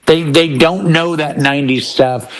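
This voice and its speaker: A man's voice: fairly high pitch, fairly even intonation, a little slow, fairly bright tone. A man talking enthusiastically and emphatically in a casual panel chat as one of the show's panelists. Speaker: panelist on a DJ talk show.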